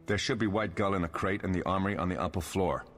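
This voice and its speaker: Brisk game monster hunter, lowish voice, resolute, direct, cavernous reverb. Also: male voice, fairly even intonation, a bit bright. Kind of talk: video game voice acting. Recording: long hall reverb on the voice.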